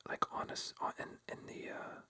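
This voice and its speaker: A young man telling a story in a close, soft ASMR whisper, rambling and self-mocking with lots of fillers. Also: normal speed.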